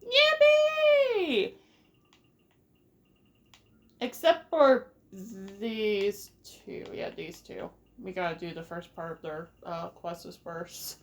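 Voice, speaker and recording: A woman's voice: medium pitch, sing-song, very slow. A woman doing casual Let's Play commentary as host, playful and rambling with sing-song exclamations. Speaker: gaming video host.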